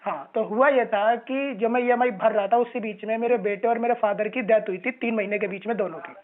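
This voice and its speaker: A man's voice: high voice, a little fast, slight background noise. Aggrieved man on a phone line. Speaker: borrower on a loan settlement call. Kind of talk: phone conversation. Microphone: telephone line. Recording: narrowband phone call recording.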